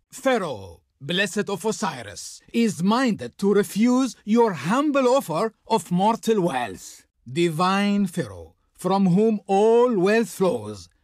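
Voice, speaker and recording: A man's voice: high voice, dry recording, clean studio sound, full character voice. A man playing a haughty, flowery envoy of an Egyptian Pharaoh in a video game, speaking in a pompous, declamatory manner. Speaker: game voice actor playing a diplomat. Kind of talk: theatrical scripted game dialogue.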